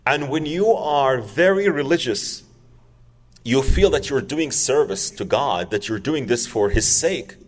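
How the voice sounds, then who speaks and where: A man's voice: mid-range voice, animated, measured pace, in a large hall. A man, telling his personal story to an audience in an earnest, emphatic way, repeating words for stress. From Saudi Arabia. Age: 40s. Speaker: public speaker.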